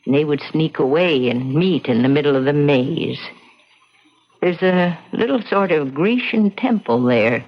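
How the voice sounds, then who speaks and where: An actress playing a frightened, superstitious little housekeeper in an old-time radio drama, anxious and halting, full of sorrow. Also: female voice, low-pitched, expressive. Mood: sorrowful recounting. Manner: anxious, halting, repeats words, exclaims "Oh". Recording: dull, lo-fi old radio recording.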